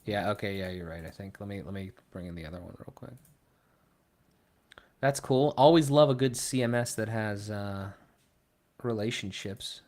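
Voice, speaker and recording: A young man from Pittsburgh hosting a casual livestream, thinking aloud and trailing off into low mumbles. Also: moderate pace, pauses often, a little mellow. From Pittsburgh, Pennsylvania. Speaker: livestream host. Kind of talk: casual live co-stream chat. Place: small room at a desk.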